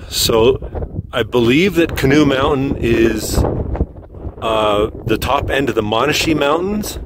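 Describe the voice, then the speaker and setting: Slow-talking man narrating outdoors. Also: mid-range voice. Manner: relaxed, unhurried commentary with pauses. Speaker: vlogger. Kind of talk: casual travel narration. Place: in a vehicle, then outdoors on a mountain summit. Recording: outdoor camera audio with noise.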